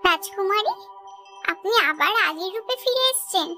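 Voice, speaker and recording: A tiny chirpy fairy-tale creature, extremely high and bright. The awestruck cartoon voice sits over faint music. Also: female voice, animated, normal speed, exaggerated voice. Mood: delighted. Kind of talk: Bengali cartoon dubbing.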